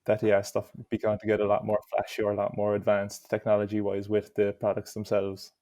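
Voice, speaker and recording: Low, flat-voiced Irish man. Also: fairly quick, no room sound. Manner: easygoing, many fillers like "you know". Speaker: interview guest. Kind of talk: casual interview answers.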